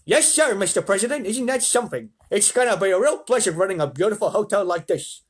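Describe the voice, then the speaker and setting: High-pitched, gushing hotel manager. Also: male voice, lively intonation, fast talker, breathy edge. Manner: strained, high, fawning.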